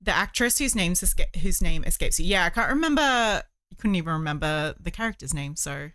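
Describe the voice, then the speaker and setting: Bright-voiced woman chatting on a livestream. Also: lowish voice, animated, brisk, no room sound. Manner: rambling, chatty, thinking aloud. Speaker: livestream host. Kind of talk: casual livestream chat while crocheting.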